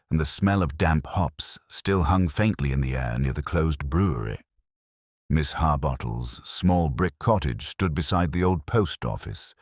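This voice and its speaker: A man narrating a mystery story in a measured storytelling manner, with a husky voice. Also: very deep voice, dry recording, clean studio sound.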